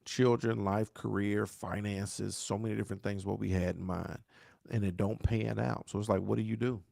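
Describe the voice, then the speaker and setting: A pastor who talks to viewers in a preachy, repeating way and asks "right?" again and again. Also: male voice, fairly low pitch, little pitch movement, normal speed, vocal fry, warm tone, no room sound, studio quality. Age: 40s. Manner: dialect grammar, says "y'all". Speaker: pastor hosting a grief talk show. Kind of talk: live-stream talk answering viewer questions.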